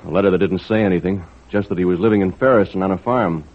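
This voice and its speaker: An actor playing a plainspoken, stubborn, warm-hearted lobsterman in an old-time radio drama, earnest and folksy. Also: deep voice, quick pace. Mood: frustrated, determined. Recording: slightly muffled vintage radio recording.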